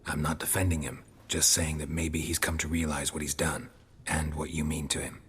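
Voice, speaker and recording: A stoic monster hunter for hire in a video game. The American voice is extremely low and heavily husky, terse and deadpan, ringing in a long reverb. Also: male voice, moderate pace, heavily acted. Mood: measured, insistent. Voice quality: very gravelly, husky rasp.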